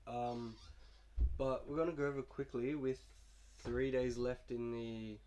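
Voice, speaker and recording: Young guy with a cold, talkin through his game lineup, chill and rambly, normal pitch. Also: steady tone, unhurried, darkish tone. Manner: laid-back, rambling, fillers and restarts. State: sick, coughing. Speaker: gaming YouTuber. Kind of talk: casual gameplay commentary.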